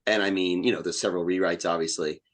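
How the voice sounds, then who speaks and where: A man speaking casually as an interview guest, in a halting, self-effacing way. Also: low-pitched, steady tone.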